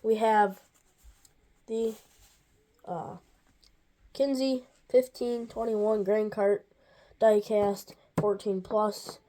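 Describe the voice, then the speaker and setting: A woman with a stuffy nose talks through a toy review in a loose, wandering way, full of asides and restarts. Her voice sits in a mellow, darker register, and her pitch stays in the middle for a woman. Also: steady tone, drawn-out words, long pauses. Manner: rambling, with many asides and restarts. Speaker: farm toy channel host.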